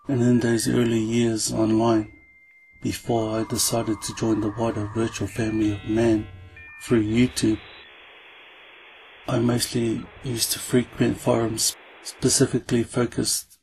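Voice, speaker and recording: A man, reading a scripted video narration in a measured, deliberate way and carefully spelling out terms. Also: mid-range voice, a little mellow, slight background noise, rough recording. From Samoa, raised in New Zealand. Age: 40s. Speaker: YouTube presenter. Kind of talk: read-aloud scripted narration.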